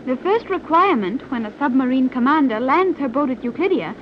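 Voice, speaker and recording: A very high-pitched young woman speaks in formal, exact sentences with cool logic. She is a submarine pilot in an old radio serial, heard through a dull, slightly hissy recording. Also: lively intonation, brisk. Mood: cool, precise. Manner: formal, precise, unemotional diction without contractions. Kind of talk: 1930s radio serial acting.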